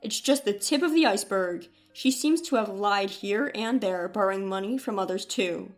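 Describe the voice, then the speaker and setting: A woman's voice: medium pitch, normal speed, soft background music, voice pushed down, natural voice. A woman voicing a weary, disappointed middle-aged father in a subdued, matter-of-fact way in a read story.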